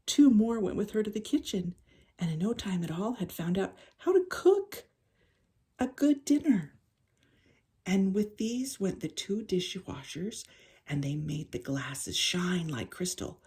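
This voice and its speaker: An older woman with a husky, breathy voice reading a children's story aloud in an unhurried, expressive way. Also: mid-range voice.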